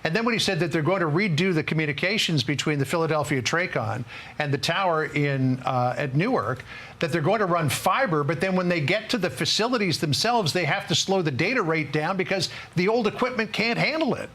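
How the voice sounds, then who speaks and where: A man's voice: fairly high pitch, animated, fairly quick, fairly bright tone. A man working as a news anchor, interviewing a guest in an emphatic broadcast style that repeats words for stress.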